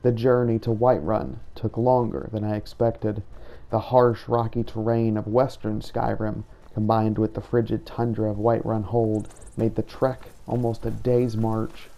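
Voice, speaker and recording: A man plays a brooding vampire hunter from a fantasy game, recounting his journal. The voice is low and mellow with a gravelly edge. Each thought comes out solemn and measured. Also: little pitch movement. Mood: weary, reflective. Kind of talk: first-person journal narration, fantasy roleplay.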